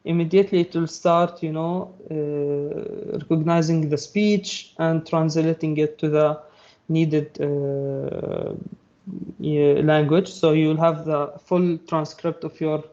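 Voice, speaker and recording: A man's voice: a little high, level tone, dark tone. Arabic native guy explaining tech stuff, lots of uhs and restarts, kinda muffled audio. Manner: halting, many fillers and restarts. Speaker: presenter. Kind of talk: technical talk.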